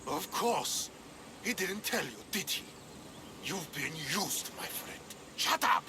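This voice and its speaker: An actor playing a bitter, angry, defiant mercenary in a video game. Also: male voice, sits high, big pitch swings, slow, background noise, full character voice.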